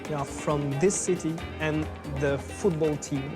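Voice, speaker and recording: A man with a somewhat higher voice. He explains things carefully and earnestly, with music playing behind him. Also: fairly even intonation, a little slow. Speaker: guest, sports writer.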